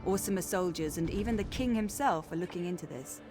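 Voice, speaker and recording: An actress playing a gracious, earnest orc high priestess in a video game, speaking in a formal, stately, welcoming manner. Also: female voice, brisk, over music, close to an everyday voice. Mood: earnest, concerned. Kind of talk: video game character acting.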